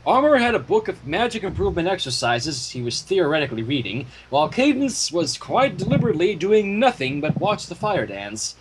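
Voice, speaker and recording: A man narrating fan fiction as an expressive storyteller. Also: high voice, brisk, hardly any pauses, noisy background.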